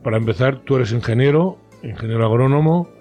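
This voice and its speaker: A man's voice: mid-range voice, brisk, a little mellow, soft background music. A man hosting an interview, chatting casually and often finishing his guest's sentences.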